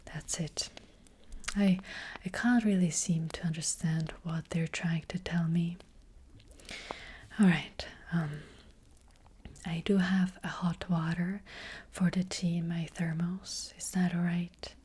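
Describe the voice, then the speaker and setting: A woman playing a gentle, hesitant witch fortune teller in an ASMR roleplay, speaking in a breathy, hushed whisper. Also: on the low side, steady tone. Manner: slow, hushed, hesitant, unhurried. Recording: close-up with faint background noise.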